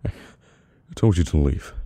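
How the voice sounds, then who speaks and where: A man with a British accent playing a cold, dominant mafia boss in an ASMR audio roleplay, speaking in a measured, commanding way with long deliberate pauses. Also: dark tone, no room sound, natural voice. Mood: dismissive, commanding. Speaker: voice actor. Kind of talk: ASMR audio roleplay, slow dramatic monologue.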